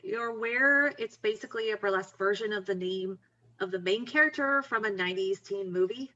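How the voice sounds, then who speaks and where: Woman acting bright-voiced, blunt, wry best friend. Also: mid-range voice, fairly quick, dull audio, natural voice. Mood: skeptical, dry. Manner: frank, coaxing, plain-spoken. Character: Riley, blunt, confident, wry young woman artist friend. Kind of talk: table read of a screenplay.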